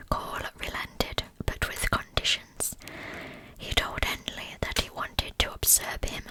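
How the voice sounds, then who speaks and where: A woman narrating a scripted true crime story as ASMR in a breathy, unvoiced whisper, with a measured storytelling manner. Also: poor audio. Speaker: ASMR channel host.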